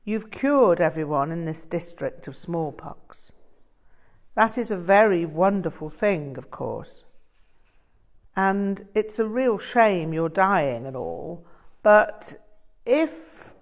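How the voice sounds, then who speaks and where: A woman's voice: lowish voice, lively intonation, takes it slowly. A woman voicing a self-serving male monk delegate in a storybook reading, hesitant and delicately insinuating. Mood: wheedling, awkward.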